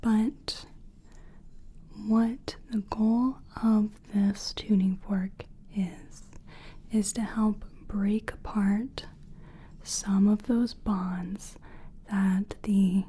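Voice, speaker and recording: Casting: female ASMR whisperer, very breathy and soft-toned, playing a gentle, reassuring healer with deliberate delivery. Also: medium pitch, flat delivery. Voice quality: breathy, mostly unvoiced whisper. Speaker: ASMR performer playing a soul-cleansing practitioner. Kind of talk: whispered ASMR personal attention roleplay.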